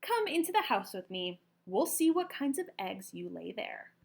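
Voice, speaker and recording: A woman voicing a kindly, excitable farm woman from a storybook, gushing and exclamatory. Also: mid-range voice, normal speed, vocal fry. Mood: excited.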